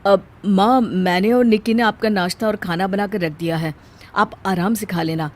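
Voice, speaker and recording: Woman acting as adult son, lowered voice, calm, placating, matter-of-fact, family story. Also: normal speed, natural voice.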